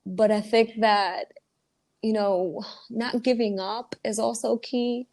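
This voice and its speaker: A woman's voice: a little high, steady tone, slow, a little mellow. A woman from the Dominican Republic, an author and entrepreneur guest, speaking earnestly and with motivational emphasis in casual interview talk.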